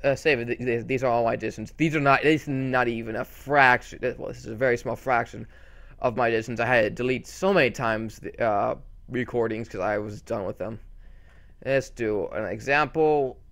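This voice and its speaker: A man's voice: medium pitch, fairly quick, creaky. A young man casually talking through a screen-recorded tutorial, rambling and correcting himself as he goes. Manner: rambling, self-correcting, excitable. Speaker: channel host.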